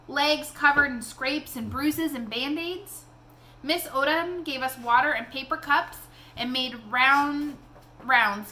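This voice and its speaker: A woman reading a children's novel aloud in an expressive storytelling style. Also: high voice, slight background noise.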